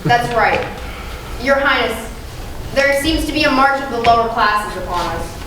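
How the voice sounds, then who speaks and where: A woman's voice: a little high, normal speed, fairly bright tone, lightly acted. A young woman playing a deferential, formal female royal adviser in a student stage play. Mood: deferential, worried. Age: young adult. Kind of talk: high school stage play acting. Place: school theatre stage, distant microphone. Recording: very echoey stage, distant, clear noise.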